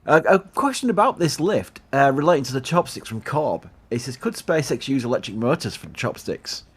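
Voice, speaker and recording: A chatty man with a Yorkshire accent hosts a live stream, reading out viewer questions and thanking supporters in a relaxed, rambling way. His voice sits at an average pitch. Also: a little fast, husky. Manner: chatty, laughs often, thanks supporters, restarts a lot. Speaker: livestream host. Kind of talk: casual live commentary.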